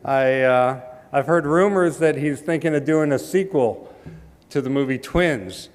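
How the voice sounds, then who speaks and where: A man's voice: mid-range voice, measured pace. Man giving a joking speech in a reverberant hall. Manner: dry joking delivery with hesitant fillers. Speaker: ambassador introducing a speaker. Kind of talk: humorous speech introduction. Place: large hall.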